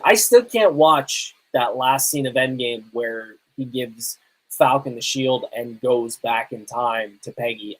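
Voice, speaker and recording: A man's voice: mid-range voice, rough recording. A man, chatting casually and enthusiastically as a solo podcast host. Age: 30s. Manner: conversational, enthusiastic, emphatic. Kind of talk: casual solo podcast chat on pop culture and games.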